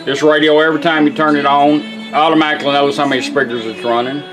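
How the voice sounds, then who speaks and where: A middle-aged man with a Southern American accent walks through a product in a casual, folksy style. His voice sits a little high for a man, and music plays behind him. He is plainspoken, like a tradesman showing his work. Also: flat delivery, fast talker. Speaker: radio restorer and product demonstrator. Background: music playing.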